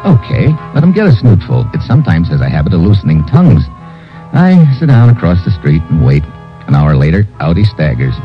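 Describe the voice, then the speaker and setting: A sardonic American investigator from a vintage radio thriller. His voice is deep and tough, clipped yet full of swing. It comes from a dull old recording with music behind it. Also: sing-song, brisk. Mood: brisk, wry narration. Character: hard-boiled, sardonic American investigator hero. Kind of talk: 1950s radio drama acting and first-person narration.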